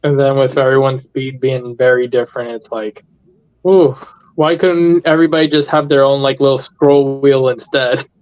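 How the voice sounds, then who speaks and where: Man, medium pitch, casual chat, dry sound, slightly muffled lo-fi recording. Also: fairly even intonation, moderate pace, dry recording. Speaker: discussion participant.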